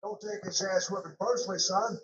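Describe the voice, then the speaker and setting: A man's voice: high-pitched, brisk, a bit bright, recorded from a distance. A man playing a cocky, aggressive pro wrestler, throwing out boastful, menacing one-line taunts for a video game. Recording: muffled, echoey, noisy game audio rip.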